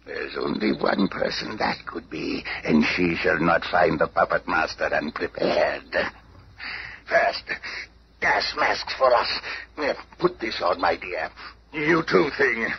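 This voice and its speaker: A menacing, theatrical villainous puppet master. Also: male voice, low voice, lively intonation, slow, gravelly, exaggerated voice. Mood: sinister scheming. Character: megalomaniac villainous puppet master, middle-aged stepfather, scheming and domineering. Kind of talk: radio drama acting. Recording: noisy, muffled old radio broadcast.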